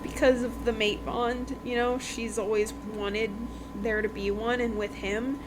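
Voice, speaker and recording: A woman with a somewhat high voice hosts a casual chat show. She tells things in a loose, rambling, conversational way. Noise is clearly audible behind her. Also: level tone, unhurried. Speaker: podcast host reviewing romance books. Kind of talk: casual book recap chat. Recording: noticeable background noise.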